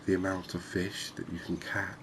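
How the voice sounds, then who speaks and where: A man giving unhurried, murmured ASMR commentary as he hosts a fishing game series. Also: deep voice, little pitch movement, noisy background. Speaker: host of a gaming series.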